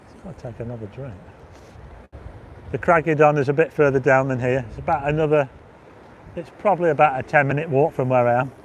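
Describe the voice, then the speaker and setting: A man hosting a casual livestream on a windy seafront at dusk, chatting and reading out viewer comments, his voice going hoarse with frequent throat clearing. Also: mid-range voice, a little fast, long pauses, lo-fi sound. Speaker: livestream host. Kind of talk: casual walking livestream chat.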